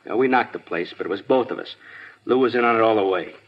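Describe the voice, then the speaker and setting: A man's voice: lowish voice, quick pace. An actor playing a sullen young male addict suspect in a 1950s radio crime drama, answering evasively. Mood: confessing. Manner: defensive, evasive. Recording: dull lo-fi 1950s radio recording.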